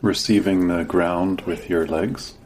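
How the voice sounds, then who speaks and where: A man leading a guided meditation as a teacher, giving gentle, sparse instructions with long pauses. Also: deep voice, steady tone, slight background noise.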